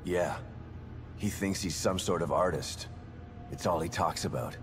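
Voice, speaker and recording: Weary determined game dad, real low voice, terse and blunt, music going behind him. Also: male voice, measured pace. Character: weary, determined, blunt father hunting for his daughter. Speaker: father searching for his daughter in a horror game. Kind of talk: video game cutscene acting. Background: background music.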